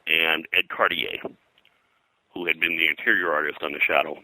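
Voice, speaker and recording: Older male interview guest, low forceful voice, rambling anecdotal historian. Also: steady tone, moderate pace, no room sound, low-quality recording. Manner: rambling, digressive, hesitant.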